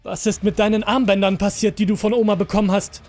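Man playing a higher-voiced, anxious young boy. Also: level tone, fast talker, darkish tone, soft background music, natural voice. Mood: alarmed, tearful. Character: wary, frightened young boy, son of the family.

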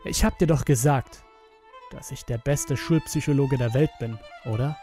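A man's voice: medium pitch, normal speed, mellow, faint music behind, lightly acted. A man voicing a controlling, sinister school psychologist who speaks insistently in a horror audio story. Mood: self-assured. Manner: insistent, commanding.

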